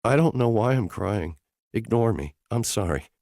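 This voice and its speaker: A man voicing an emotional young woman in an audiobook, speaking in a higher, softer voice. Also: expressive, normal speed, no room sound, clean studio sound. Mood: tearful. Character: emotional young woman, tearful and self-doubting. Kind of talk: audiobook character dialogue.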